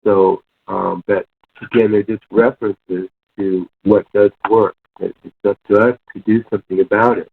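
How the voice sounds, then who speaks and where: A man's voice: on the low side, steady tone, moderate pace, creaky, slight background noise. A man giving informal spoken reflections in a discussion, hesitant and repetitive as he searches for words. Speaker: discussion participant. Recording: muffled, phone-like narrow sound.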